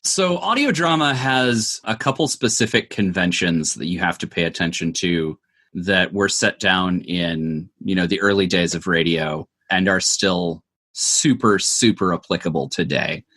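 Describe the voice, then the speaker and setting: A man's voice: deep voice, a little slow, sharp tone. A man giving thoughtful, conversational answers as an interview guest, explaining things with frequent asides. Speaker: interview guest, audio drama maker.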